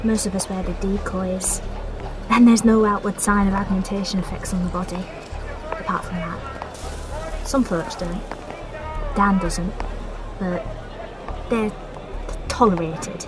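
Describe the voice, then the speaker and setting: A woman's voice: mid-range voice, steady tone, over music, a crowd in the background, lightly acted, poor audio. A woman playing a wry, scarred resistance fighter. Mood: reflective. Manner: easygoing, wry, quick to laugh. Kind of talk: audio drama acting.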